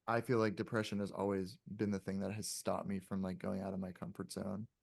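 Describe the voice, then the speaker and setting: Low-voiced young man, dry close sound. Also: little pitch movement, fairly quick, creaky, dry recording. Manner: hedging, reflective, frequent swearing. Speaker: podcast guest. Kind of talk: casual podcast conversation.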